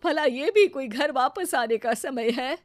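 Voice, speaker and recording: Casting: female narrator as middle-aged mother-in-law, disapproving, reproachful, high voice, domestic storybook tale. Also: animated, unhurried, no echo, clean studio sound.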